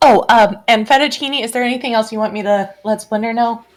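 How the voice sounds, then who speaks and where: A woman's voice: on the high side, fast. A woman player in a tabletop game, giggly and hesitant with many fillers.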